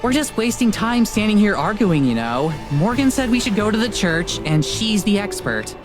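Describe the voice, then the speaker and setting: A woman's voice: mid-range voice, big pitch swings, moderate pace, close to an everyday voice. A woman playing an upbeat young show host in a visual novel, with casual, enthusiastic, slangy delivery. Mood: impatient. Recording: music behind the voice.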